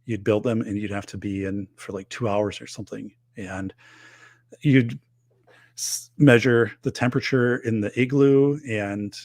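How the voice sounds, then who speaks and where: A man's voice: on the low side, fairly even intonation, measured pace, a little mellow, dry recording, clean studio sound. A middle-aged man hosting a live show, chatting casually and rambling with many fillers and restarts, losing his voice. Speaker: livestream host reading viewer comments.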